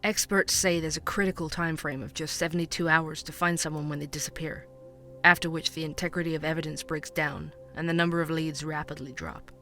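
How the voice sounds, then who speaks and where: A man playing a dogged young true-crime podcast host, narrating in a measured, earnest way over soft music. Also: a little high, little pitch movement. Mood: ominous. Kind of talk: fiction podcast drama.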